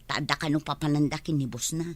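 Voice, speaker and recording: A woman's voice: low voice, steady tone, fast, no echo. A woman playing a put-upon, testy village wife and mother with an Ilocano accent in a comedy radio drama. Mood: weary, guarded.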